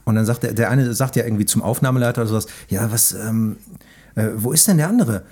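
Low, muted, husky man, chatting. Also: fast talker, warm tone. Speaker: podcast host. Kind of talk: casual film discussion.

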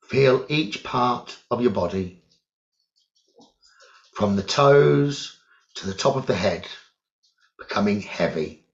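A man leading a guided meditation as a teacher, giving calm, deliberate instructions in short phrases with long pauses. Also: lowish voice. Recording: dull, lo-fi sound.

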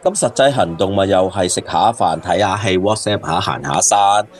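Low, grumbling Hong Kong Cantonese man actor. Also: normal speed, natural voice. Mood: exasperated, lovelorn. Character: grumbling, lovelorn man drinking at a bar. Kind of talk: radio drama acting, bar banter.

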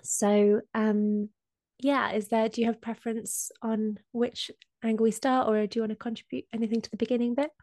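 Woman with a cold, flat monotone, dry close sound, lots of ums while explaining stuff. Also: a little high, normal speed, no echo. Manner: hesitant, many ums, explains step by step. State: has a cold. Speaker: co-host explaining crypto. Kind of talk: casual podcast explainer.

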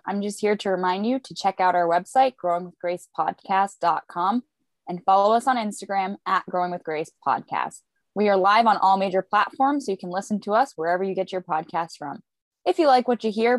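A woman co-hosting a podcast, explaining her habits in a relaxed, rambling way. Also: medium pitch, no echo. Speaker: podcast co-host. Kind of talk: casual chat.

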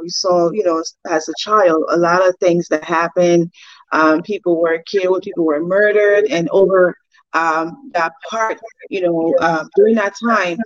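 A woman's voice: lowish voice, no room sound. Woman, slightly muffled recording. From Liberia. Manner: earnest, devout way of talking. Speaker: interview guest. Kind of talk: personal testimony.

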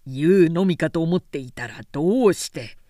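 A woman's voice: low voice, animated, moderate pace, mellow, dry recording. A shrewd male strategist from a historical tale , acted out by a woman reader. He lays out his schemes in formal, earnest and calculating tones, in a dry storybook recording. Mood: calculating, persuasive.

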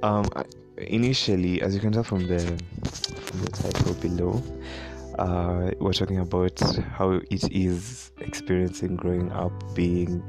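A young Kenyan man with a deep voice and a Kenyan accent, chatting casually on his own podcast, rambling with many fillers and frequent laughs. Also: level tone, a little slow, gravelly, music in the background. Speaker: podcast host. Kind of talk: casual solo podcast chat.

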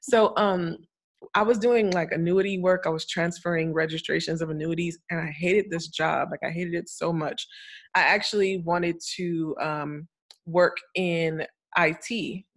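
Young woman from florida, lowish voice, laughs a lot while she talks. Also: fairly even intonation, measured pace. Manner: chatty, laughs often between phrases. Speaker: guest, cybersecurity consultant. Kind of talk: casual interview talk.

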